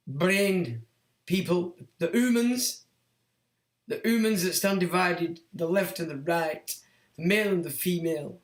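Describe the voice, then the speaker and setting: A hoarse man, croaky from being sick, hosting a rambling, sardonic solo livestream monologue. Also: on the high side. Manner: rambling, sardonic, talks to himself with fillers. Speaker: livestream host. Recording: echoey room.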